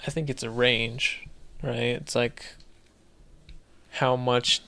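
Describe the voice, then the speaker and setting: A man co-hosting a podcast, chatting casually in an agreeable, measured way. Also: medium pitch, flat delivery, creaky, sharp tone. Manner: agreeable, brief replies, measured. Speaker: podcast co-host. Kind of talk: casual chat.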